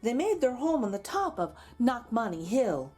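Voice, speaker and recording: A woman reading a children's story aloud in an expressive way with dramatic emphasis. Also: on the high side, normal speed, slightly rough, soft background music. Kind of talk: expressive read-aloud for children with chatty asides.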